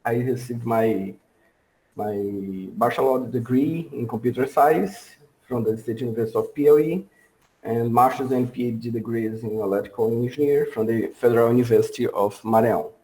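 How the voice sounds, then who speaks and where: A man from Brazil with a Brazilian accent giving a workshop lecture over a video call, halting and full of fillers and restarts. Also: mid-range voice, mellow, rough recording. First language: Portuguese.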